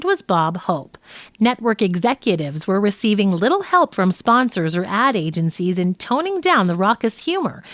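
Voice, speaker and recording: A woman narrating a nonfiction audiobook in a measured, expressive reading voice. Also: medium pitch, no echo.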